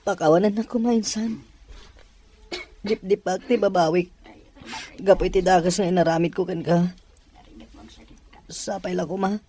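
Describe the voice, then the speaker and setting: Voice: mid-range voice, steady tone, normal speed, pauses often, dark tone, lightly acted. A performer playing a remorseful, pleading young male prisoner in an audio drama, with an Ilocano accent.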